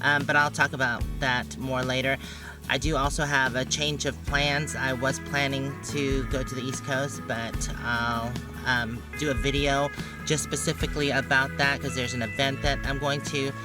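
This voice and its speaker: A man's voice: mid-range voice, little pitch movement, normal speed, over loud music. A man chatting casually for a travel vlog in an informal, playful way. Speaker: travel vlogger.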